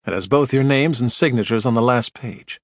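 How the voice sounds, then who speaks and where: A man's voice: medium pitch, brisk, no echo, studio quality, close to an everyday voice. A man as a blunt plainspoken questioner. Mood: dogged.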